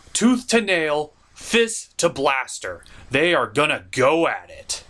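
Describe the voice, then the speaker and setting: Guy hosting comedic cartoon reviews, really animated pitch, sharp forceful voice, theatrical and jokey. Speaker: host of a cartoon review show. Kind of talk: scripted comedic review narration.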